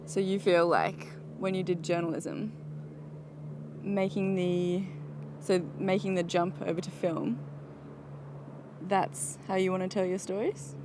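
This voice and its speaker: A woman's voice: fairly low pitch, flat delivery, measured pace, long pauses, background noise. A woman hosting an interview, introducing herself and asking short, direct questions in a casual way. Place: outdoors overlooking the ocean.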